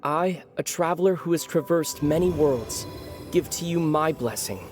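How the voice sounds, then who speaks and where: A man's voice: a little high, normal speed, darkish tone, faint music behind, close to an everyday voice. A man voicing an earnest, kind young world-wandering traveler in a video game, speaking solemnly and sincerely. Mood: solemn, warm-hearted.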